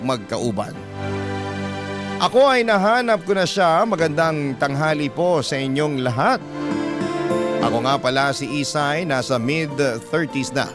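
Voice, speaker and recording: A man's voice: fairly high pitch, lively intonation, measured pace. Filipino man narrating over prominent music. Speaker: radio host and narrator. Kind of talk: dramatic reading of a listener's love letter.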